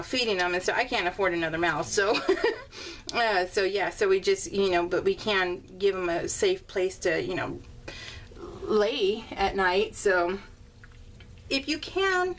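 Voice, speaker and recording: A woman's voice: medium pitch, fairly bright tone. An American woman chatting casually in a rambling, giggly, conversational way, as the host of a homemade craft vlog. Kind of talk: casual chatty vlog voiced in real time. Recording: slightly distant mic, faint room noise.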